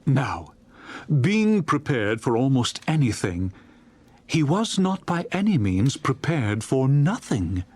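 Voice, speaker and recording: A man narrating a classic story as a dramatized audiobook, in a theatrical, expressive storytelling manner. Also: mid-range voice, takes it slowly, slightly rough, dry recording. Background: music.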